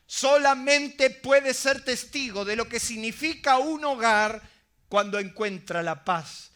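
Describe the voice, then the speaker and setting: A man's voice: extremely high pitch, pushed and bright. A middle-aged man preaching a sermon, emphatic, often asking the congregation rhetorical questions. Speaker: pastor.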